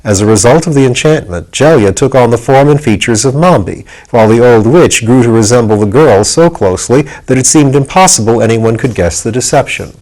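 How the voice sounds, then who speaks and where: A man's voice: mid-range voice, expressive, fairly quick, few pauses, darkish tone, low-quality recording. A man reading aloud as the narrator of a storybook, in scripted narration. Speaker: narrator, audiobook reader.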